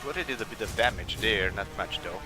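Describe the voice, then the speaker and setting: A man's voice: fairly low pitch, lively intonation, quick pace. A man giving casual let's play commentary, hesitant and thinking aloud as he goes, with music behind him. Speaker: gaming video host. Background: game music.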